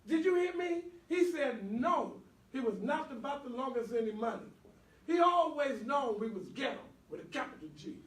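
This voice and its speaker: Man playing high-voiced guilt-ridden younger brother. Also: lively intonation, moderate pace. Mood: pleading, upset. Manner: earnest, confessional, colloquial. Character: guilt-ridden younger brother, troubled father, drug user. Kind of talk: stage play skit acting. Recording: echoey room, distant mic.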